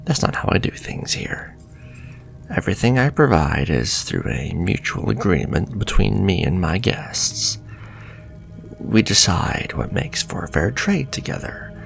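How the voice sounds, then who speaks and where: A man playing a suave, flirtatious, sinister warlock shopkeeper in a fantasy ASMR roleplay, speaking softly and courteously and drifting into breathy whispers. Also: deep voice, big pitch swings, unhurried, soft background music, exaggerated voice. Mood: flirtatious, coaxing.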